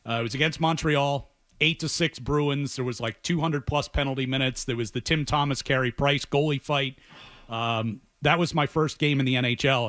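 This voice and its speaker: A man's voice: medium pitch, fairly bright tone, no echo, studio quality. A man speaking casually and earnestly as a radio guest, telling personal stories in an appreciative way. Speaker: radio guest and sports play-by-play announcer. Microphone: close studio radio mic.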